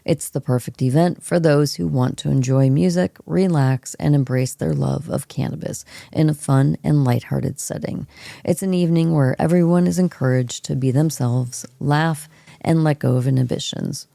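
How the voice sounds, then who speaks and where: A woman co-hosting a podcast with a hoarse, froggy voice, reading an article aloud in a relaxed, unhurried way. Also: low-pitched, dry recording. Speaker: co-host. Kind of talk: reading an article aloud, then casual chat.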